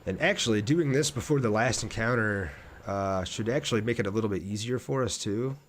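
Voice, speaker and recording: A man's voice: fairly low pitch, brisk, slight background noise. A man streaming a game, chatting casually and in a laid-back way with his viewers as he plays. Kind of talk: casual livestream chat over gameplay.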